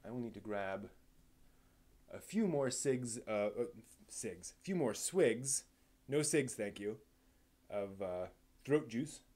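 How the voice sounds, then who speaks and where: A man's voice: medium pitch, lively intonation, a little mellow. A man hosts a casual live stream in a mid-range voice. He speaks off the cuff to his viewers, feeling his way through thoughts. His sentences often stall and get reworded along the way. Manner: halting, thinking aloud, rephrasing mid-sentence.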